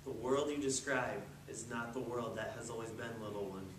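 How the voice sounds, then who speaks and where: Man playing gentle ancient turtle, distant and echoey. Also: mid-range voice, fairly even intonation, moderate pace, close to an everyday voice. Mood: gentle, grave. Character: wise, gentle, ancient turtle, patient and kindly. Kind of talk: readers theatre storybook performance. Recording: roomy, distant mic with clear noise.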